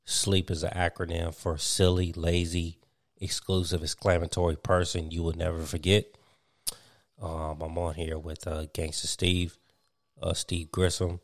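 A young man hosts a loose podcast conversation, rambling through his points with plenty of "um"s and frequent laughs. His voice sits very low, and the room sounds dry and close. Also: little pitch movement, measured pace, dry recording. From Virginia, US. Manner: rambling, filler-heavy, laughs often. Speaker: podcast host. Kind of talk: casual chat.

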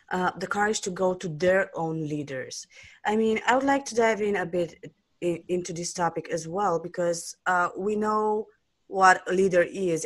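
Lower-voiced woman interview host. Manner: engaged, long winding questions. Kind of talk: conversational interview questions.

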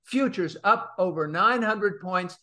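A man's voice: high voice, unhurried, sharp tone. A man hosting a casual chat, speaking bluntly and with open disbelief. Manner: blunt, incredulous.

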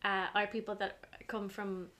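A woman's voice: mid-range voice, flat delivery, normal speed, sharp tone. A woman hosting a casual podcast interview, agreeing often and reading out listener questions. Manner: encouraging, frequent short agreements. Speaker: podcast host.

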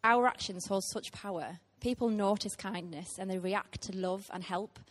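A woman's voice: medium pitch, normal speed. A woman, preaching a heartfelt sermon to a church with frequent ums. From Teesside, England. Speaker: guest preacher.

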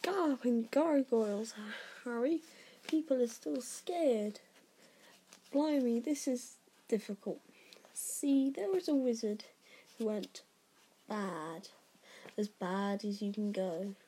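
A woman voicing a gruff, kindly giant man from a storybook, speaking in halting, rustic turns of phrase. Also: fairly high pitch, dark tone, slight background noise. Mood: hesitant, uneasy.

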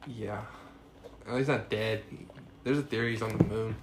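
A man in his twenties co-hosting a podcast, chatting casually and getting excited, often saying "bro". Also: lowish voice, unhurried, slight background noise. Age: 20s. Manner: excitable, says "bro" a lot. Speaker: podcast co-host. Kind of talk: casual chat.

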